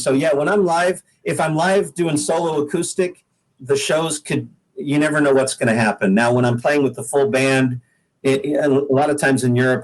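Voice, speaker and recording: A man's voice: mid-range voice, poor audio. Rambling American man telling casual stories. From Pacific Northwest, United States. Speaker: singer-songwriter, podcast guest. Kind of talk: casual interview storytelling.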